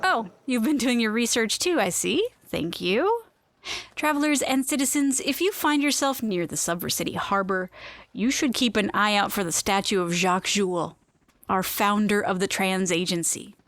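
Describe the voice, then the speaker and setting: A woman's voice: medium pitch, expressive, normal speed, fairly bright tone, dry recording. Woman host, playful and gushy, kinda theatrical, dry close mic. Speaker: podcast host. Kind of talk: chatty in-world hosting and reading.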